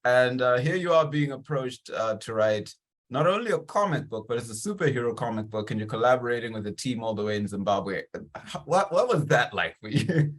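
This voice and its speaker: Man, mid-pitched voice, interview host, conversational, enthusiastic and encouraging. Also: expressive, brisk.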